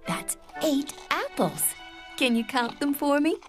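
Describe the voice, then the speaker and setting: A woman voicing a gentle, patient mother kangaroo in a children's cartoon, soothing and encouraging. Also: high voice, unhurried. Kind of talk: animated children's cartoon acting. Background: background music.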